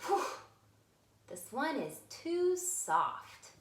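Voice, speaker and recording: A woman's voice: sits high, very animated, drawn-out words, distant mic, voice pushed up, heavily acted. A woman voicing a fussy, curious little girl in a storybook reading, full of exclaims. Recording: echoey room.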